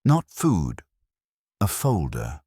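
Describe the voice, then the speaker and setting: A man narrating a scripted first-person detective story in a wry, measured storytelling manner. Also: low-pitched, wildly swooping pitch, dark tone, breathy edge, no room sound, studio quality. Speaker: audiobook narrator.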